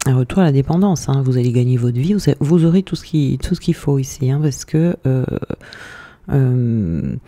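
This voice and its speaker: A man's voice: mid-range voice, animated, normal speed, mellow. A man giving a spoken tarot reading to viewers, hesitant with many fillers and a reassuring tone. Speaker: tarot card reader.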